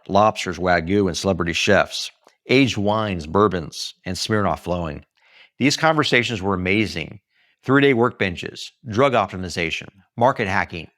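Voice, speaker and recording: An American man from Texas reading his own memoir aloud as scripted narration, with dramatic, emphatic delivery and deliberate pauses. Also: fairly low pitch, a bit bright, dry recording, studio quality. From Texas, United States. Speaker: author reading own book.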